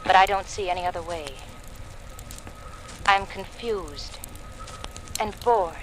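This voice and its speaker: An actress playing a weary, despondent wife, halting and resigned, heard on a home record in a 1940s radio drama. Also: female voice, fairly low pitch. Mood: resigned, bleak. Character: despondent, weary wife leaving a farewell message. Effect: played back from a home record. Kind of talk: 1940s radio suspense acting. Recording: noisy, distant old record playback.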